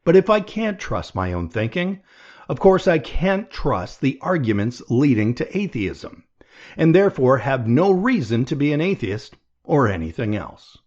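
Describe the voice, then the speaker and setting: A man reading a nonfiction audiobook aloud in a measured, deliberate way. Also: mid-range voice, very animated, darkish tone, highs cut off. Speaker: audiobook reader.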